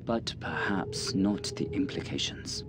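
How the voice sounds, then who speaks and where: A grave, low-voiced colonel. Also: male voice, over loud music. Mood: grave, persuasive. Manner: measured, formal, speechifying. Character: guarded middle-aged Chinese intelligence colonel, grave and deliberate. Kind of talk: dramatic video game dialogue.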